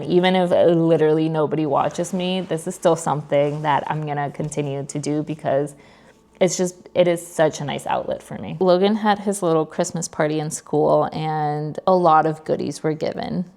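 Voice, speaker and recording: A woman's voice: low voice, vocal fry, darkish tone. A woman, chatting casually to camera as a vlogger sharing personal updates. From New York. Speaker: vlogger, content creator.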